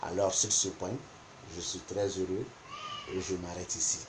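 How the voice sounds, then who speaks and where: A man whose first language is not French, teaching a spoken lesson on interpretation, deliberate and repeating points for emphasis. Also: deep voice, little pitch movement, background noise. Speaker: geomancy teacher.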